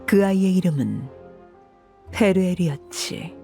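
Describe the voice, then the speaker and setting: A voice actress playing a cold, composed woman commander in a video game, speaking in a measured, detached and authoritative way. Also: on the low side, animated, vocal fry. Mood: somber, detached. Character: cold, composed commanding woman harbinger recounting a grim past. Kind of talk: scripted video game voice acting. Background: soft background music.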